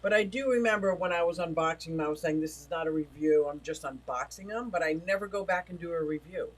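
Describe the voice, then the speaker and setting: A man hosts a relaxed livestream from home, rambling between topics and reading out messages from his chat. His voice sits a little high for a man and he feels it starting to give out. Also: quick pace, low-quality recording. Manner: rambling, chatty, reads chat messages aloud. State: losing his voice. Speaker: livestream host. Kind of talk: casual livestream chat reading viewer comments.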